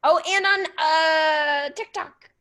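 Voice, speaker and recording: A woman's voice: high-pitched, takes it slowly, pushed and bright. A woman performer on a podcast, talking in casual, conversational chat.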